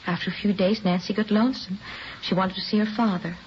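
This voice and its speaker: A woman's voice: mid-range voice, steady tone, fast, lightly acted. Actress as defensive, weary mother, noisy old radio. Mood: defensive, impatient. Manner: defensive, pleading. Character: evasive, weary young mother under police questioning. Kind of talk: 1950s radio crime drama acting. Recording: dull, noisy vintage radio recording.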